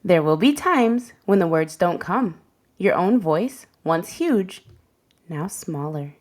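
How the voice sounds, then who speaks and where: A woman reading a children's picture book aloud as a storyteller, with gentle, deliberate phrasing for young listeners. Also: lowish voice, animated. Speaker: read-aloud host and storyteller.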